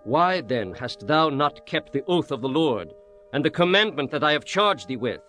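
A stern, commanding king in a scripture drama. His voice sits fairly high and moves animatedly as he declaims solemn, archaic pronouncements. Faint music plays behind him. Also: very animated, normal speed, bright, forceful tone. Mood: stern, accusing. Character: King Solomon, stern commanding young male monarch.

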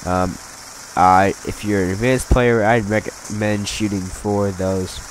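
A man's voice: lowish voice, fairly even intonation, unhurried, slight background noise, poor audio. A young man with a cold, sounding stuffed up, giving casual, rambling gameplay commentary and correcting himself as he goes. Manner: rambling, self-correcting, upbeat. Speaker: gaming commentator.

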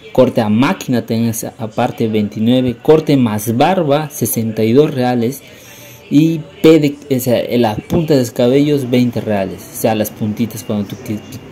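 A man's voice: medium pitch, mellow. A young man narrating a casual travel vlog in a rambling, hesitant way, often repeating words. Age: young adult. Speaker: vlogger.

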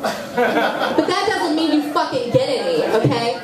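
A woman's voice: high voice, very animated, a little slow. A woman voicing a brash, foul-mouthed goth woman puppet in a live comedy act, bratty, taunting and sardonic. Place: small indoor club stage, far camera. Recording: roomy, distant mic in noisy crowd.

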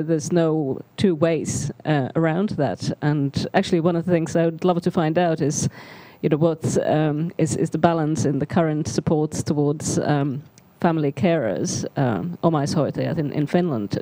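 A middle-aged woman speaks on a seminar panel with a mellow, warm tone and very little room echo. She explains her points off the cuff, often repeating words as she builds them. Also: sits low. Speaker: academic panelist. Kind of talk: spontaneous panel discussion remarks. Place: seminar auditorium on stage. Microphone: handheld microphone.

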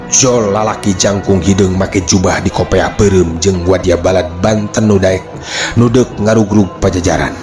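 A man's voice: sits low, steady tone, normal speed, music in the background. A man with a Sundanese accent narrating a folk tale as a dramatic storyteller.

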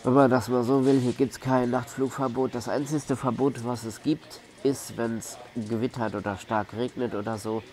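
A man's voice: medium pitch, flat delivery, normal speed, few pauses, darkish tone. A man casually commentating a video game as host, rambling colloquially and often repeating himself. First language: German. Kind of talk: casual let's play commentary.

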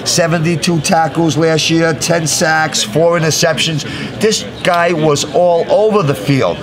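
A middle-aged man interviewing a player on a handheld mic, talking in an energetic, emphatic style at a busy indoor media event. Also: on the high side, measured pace. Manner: hyped, emphatic catchphrases. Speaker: sports interviewer. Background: crowd chatter.

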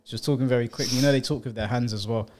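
A young man co-hosting a podcast, chatting casually and telling anecdotes with quick mock voices. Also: mid-range voice, steady tone, a little mellow. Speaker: podcast co-host. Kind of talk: casual chat.

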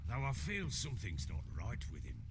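Burly gruff old baron in a fantasy game, blunt earthy storyteller, deep voice that moves around a lot. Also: male voice, huge pitch swings, moderate pace, slight background noise. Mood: wry storytelling. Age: middle-aged. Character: burly gruff baron, blunt, jovial, world-weary older man. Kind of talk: video game dramatic dialogue.

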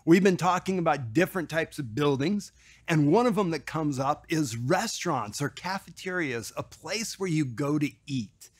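A man in his forties giving a casual, animated teaching to camera from a small room, with a conversational manner and the odd restart. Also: on the high side, moderate pace, no room sound. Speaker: ministry teacher on dream interpretation. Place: small room with shelves, indoors.

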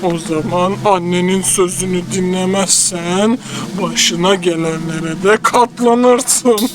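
Bir çizgi filmde çocukları kandıran sinsi, kötü bir adamı seslendiren, sahte tatlılıktan tehdide geçen bir erkek oyuncu. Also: male voice, sits high, steady tone, slow pace, music in the background, poor audio. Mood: menacing, gloating. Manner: falsely sweet, then menacing and cackling. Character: sly, wicked adult male swindler luring children. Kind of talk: cartoon dub acting.

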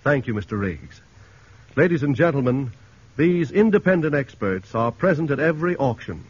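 A man announcing a 1940s radio commercial with formal, emphatic, measured phrasing. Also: a little high, breathy edge. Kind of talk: 1940s radio advertising pitch. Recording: muffled 1940s radio broadcast.

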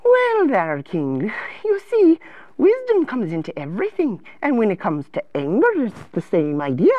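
A woman voicing a fussy, patient advisor who coaxes and gently teaches in a sing-song way for children. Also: medium pitch, huge pitch swings, moderate pace, dry recording, lo-fi sound. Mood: patient, instructive. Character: fussy, patient, high-voiced advisor to the king. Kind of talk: children's moral lesson in character.

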